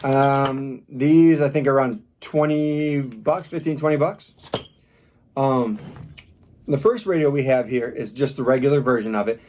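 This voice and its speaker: Male reviewer, medium pitch, informal and practical, walks through gear piece by piece in plain conversational style. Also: measured pace, darkish tone. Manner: informal, demonstrates step by step. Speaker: product reviewer. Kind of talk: casual hands-on product review.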